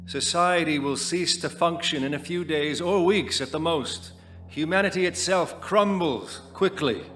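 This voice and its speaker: Doomsday preacher for radio play, male actor, slightly high voice, grave oratory over light noise. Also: fairly bright tone. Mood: grave, ominous. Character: solemn doomsday cult leader preaching end times. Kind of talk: dramatic scripted monologue.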